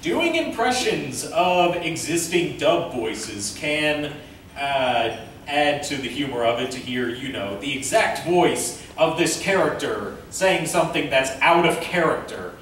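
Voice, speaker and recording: A man presenting an informal convention panel, speaking haltingly as he thinks aloud. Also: fairly high pitch, lively intonation, fairly bright tone. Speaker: panel presenter, amateur director and voice actor. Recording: echoey room from far off, faint noise.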